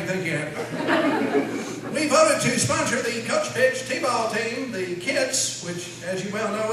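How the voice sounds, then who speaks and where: A man playing an eager, chatty lodge secretary in a comedy radio sketch, reading out minutes in a folksy, fussy way. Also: high-pitched. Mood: tickled. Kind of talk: live comedy radio-show sketch acting. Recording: echoey hall, distant mic, faint noise.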